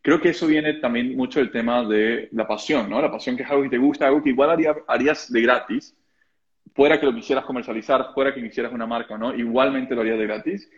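A man's voice: medium pitch, fairly even intonation. Man hosting a live stream, rambling questions, dull audio. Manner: fast, rambling questions with restarts. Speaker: host, interviewer.

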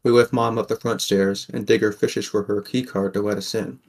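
A man as a low-voiced sardonic teenage boy narrator. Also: flat delivery, moderate pace, gravelly, darkish tone. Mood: wry, reflective. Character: sardonic, wary sixteen-year-old boy from a poor mining district. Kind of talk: first-person scripted narration, audio drama.

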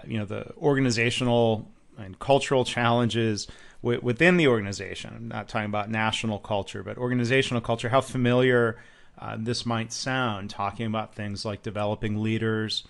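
A man hosting a podcast interview and reading his own promos, relaxed, with frequent ums and uhs. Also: lowish voice. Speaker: podcast host.

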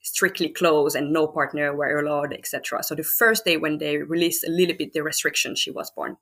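A woman's voice: low voice, fairly even intonation, a little fast, fairly bright tone. A woman from France in her thirties, a mother telling her birth story in a casual interview, thoughtful with frequent fillers. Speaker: guest mother.

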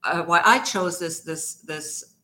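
A woman's voice: on the low side, slow pace, pushed and bright. A middle-aged woman from Germany with a German accent, a coach telling her career story as a podcast guest, with fillers and restarts. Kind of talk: podcast interview.

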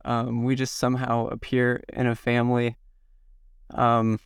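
A man from the Midwest in his thirties, slightly hoarse from a cold, answering interview questions to himself in a slow, halting, reflective way. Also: medium pitch, completely flat delivery, no echo, clean studio sound. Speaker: podcast guest. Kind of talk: self-interview.

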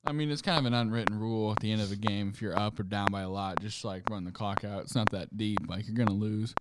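A young man telling anecdotes in casual chat, in an informal, halting way. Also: lowish voice, steady tone. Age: young adult. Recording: very noisy recording with clicks.

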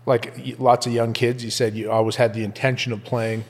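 A man's voice: mid-range voice, little pitch movement, fairly quick. A man hosting a casual interview, easygoing, with frequent short acknowledgments. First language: English.

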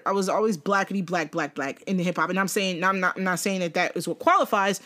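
A young woman co-hosting a podcast in casual chat, animated, laughing often and swearing freely. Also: mid-range voice. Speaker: podcast co-host.

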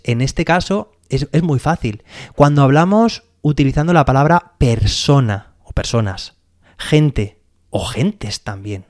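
A man's voice: mid-range voice, darkish tone. A man with a Spain Spanish accent, a teacher hosting a solo educational podcast, explaining patiently and checking in with the listener.

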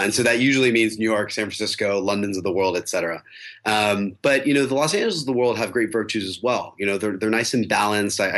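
A man's voice: on the low side, fairly bright tone. A man in his twenties, a podcast guest talking casually and reflectively, with many fillers and restarts. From United States. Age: 20s. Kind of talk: casual interview talk.

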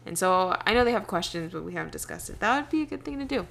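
A woman's voice: medium pitch, animated, fast, gravelly, fairly bright tone. A woman hosts a podcast, chatting casually and self-deprecatingly, often trailing off and restarting. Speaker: podcast host. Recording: faint background noise.

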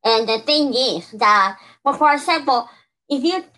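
Bright-voiced woman from Peru. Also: sits high, animated, measured pace. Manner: earnest, many restarts and "you know". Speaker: podcast co-host. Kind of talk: casual conversation.

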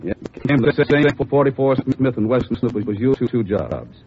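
A man playing a gruff, brisk, authoritative police chief briefing detectives in an old radio crime drama. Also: mid-range voice, expressive, lightly acted. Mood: businesslike. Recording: muffled, noisy old radio recording.

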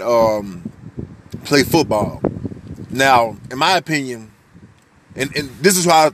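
A young man in his twenties from the United States talks about himself on his own podcast in a deep voice. He is candid and loose, swears freely and often restarts a sentence. Faint noise sits behind him. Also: fairly bright tone. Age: 20s. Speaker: podcast host. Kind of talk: casual personal monologue.

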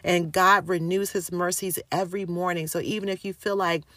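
A woman's voice: lowish voice, steady tone, normal speed, fairly bright tone, dry recording. A middle-aged woman teaching a devotional lesson in an earnest, encouraging way, repeating key phrases. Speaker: Bible teacher.

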